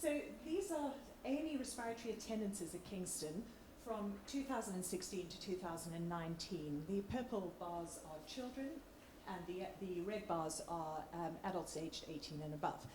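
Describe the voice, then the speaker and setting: A woman with a lowish, slightly croaky voice presents as a doctor at a public meeting. Her delivery is informal and a little hesitant, in an echoing room with faint background noise. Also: lively intonation, moderate pace, few pauses. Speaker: doctor, clinical respiratory lead.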